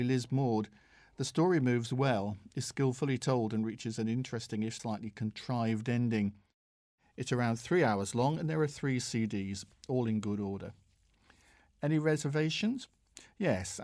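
A British man reading a scripted audiobook review in a measured, formal manner. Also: mid-range voice, a little mellow, dry recording, clean studio sound. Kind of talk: scripted review read aloud.

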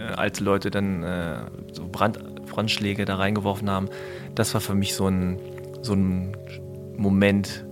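A man in his mid-forties chatting casually, reflective and often hesitant as he searches for words. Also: fairly low pitch. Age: mid-40s. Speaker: podcast discussion partner. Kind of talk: casual conversation.

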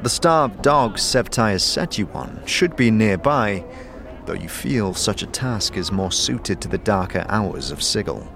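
A man's voice: on the low side, lively intonation, unhurried, vocal fry. A man narrating a scripted fantasy audio drama in a dramatic, second-person storytelling style. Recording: music behind the narration. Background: music.

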